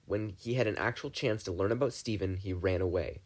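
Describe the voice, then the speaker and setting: A man giving an expressive, dramatic reading of a fan fiction story as an audiobook. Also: low voice, brisk. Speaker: podfic reader.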